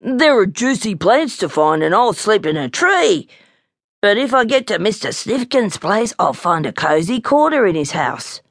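A woman's voice: medium pitch, sing-song, moderate pace, no room sound, clean studio sound. A woman voicing a bold, naughty, boastful little boy koala bear in a children's storybook. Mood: confident, hurried. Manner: cocky, defiant, scornful.

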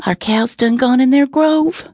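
A woman voicing a seven-year-old country girl in an audiobook, with a sweet, soft Southern drawl and rustic dialect. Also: high voice, measured pace, no room sound, voice pushed up. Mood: lively, warning. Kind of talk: audiobook character reading.

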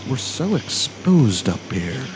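A man playing a crude, easily spooked young stoner camper in a live horror audio drama, profane, slangy and excitable. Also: medium pitch, slow pace. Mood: panicky. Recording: clearly noisy live recording with ambience.

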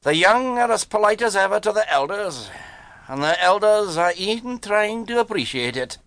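A man voicing a grave older ship's chief engineer, measured and dryly polite, in an audiobook story. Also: sits high, animated, bright, forceful tone, no echo, voice pushed up, heavily acted.

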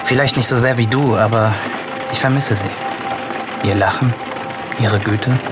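A man's voice: medium pitch, fairly even intonation, measured pace, loud music behind the voice, natural voice. An actor playing an earnest, concerned young man, a younger brother, in an audio drama. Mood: sad, conciliatory. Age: young adult. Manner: earnest, troubled. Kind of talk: German audio drama acting.

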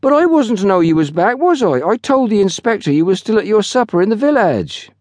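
A man's voice: high-pitched, animated, fairly quick, darkish tone, dry recording, studio quality, voice pushed up. A man voicing a young, self-important, sulky railway porter with a colloquial, gossipy manner in a narrated story.

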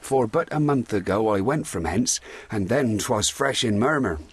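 A shipwrecked sea captain in shakespeare, steady and respectful, bit of a husky voice, very dry recording. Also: male voice, on the low side, normal speed, no room sound. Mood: earnest, reassuring. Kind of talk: Shakespearean audio drama.

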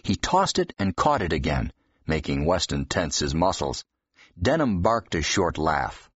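A man's voice: low-pitched, very animated, unhurried, dull audio, slight background noise. A man reading scripted fiction narration as an audiobook narrator.

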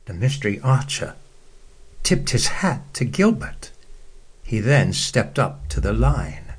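A man narrating a children's bedtime story, slow and expressive, acting out the quotes. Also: mid-range voice, slightly rough.

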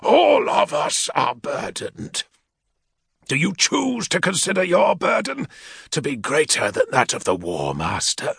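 A man's voice: on the high side, wildly swooping pitch, measured pace, gravelly, fairly bright tone, husky, dry recording, studio quality, voice pushed up, heavily acted. A man voicing a crippled, menacing male equerry who growls threats from behind a respirator. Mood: cold menace.

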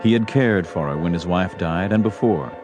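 A man's voice: low-pitched, moderate pace. A man narrating a Western story as a scripted audio drama. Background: music.

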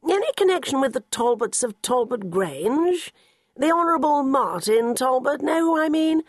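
A woman reading aloud voicing a fussy, snobbish elderly English gentleman with a drawling, affected upper-class delivery. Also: high voice, animated, moderate pace, no room sound, studio quality, voice pushed up. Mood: pompous.

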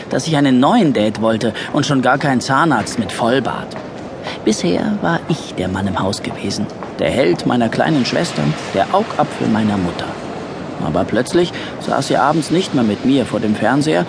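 A man's voice: mid-range voice, very animated, normal speed, creaky, noisy background. A man acting a wry, resentful young narrator looking back on boyhood in a scripted audio drama.

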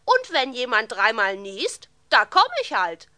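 A woman's voice: fairly high pitch, huge pitch swings, a little slow, sharp tone, no room sound, clean studio sound, exaggerated voice. A woman playing a bubbly, friendly fairy-like visitor in a children's audio play. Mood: cheerful, friendly. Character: cheerful glittering fairy-like visitor from a land of health.

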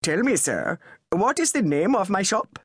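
A woman's voice: medium pitch, sing-song, normal speed, husky, no room sound, studio quality. A woman voicing a pedantic, sarcastic middle-aged male shopkeeper with an English public school accent, spelling out every word. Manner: sarcastic, over-precise.

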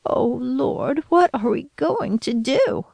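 A woman's voice: high-pitched, animated, takes it slowly, vocal fry, a little mellow, dry recording, pitched above the performer's own voice, heavily acted. Woman voicing a frantic old grandmother. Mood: distraught. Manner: shrieking, pleading, panicked.

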